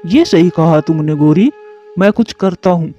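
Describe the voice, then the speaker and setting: Man voicing a high, bright, lazy crow. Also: measured pace. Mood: scheming. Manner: scheming, work-shy. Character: lazy scheming male crow husband. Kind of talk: Hindi children's cartoon voice acting. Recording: dull lo-fi audio with music behind.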